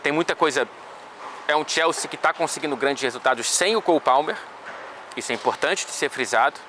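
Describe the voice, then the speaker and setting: A man's voice: fairly high pitch, fairly quick, sharp tone, a little hiss or hum. A man with a Brazilian accent casually breaking down a football match for his vlog, chatty and loose with slangy asides. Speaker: football reporter.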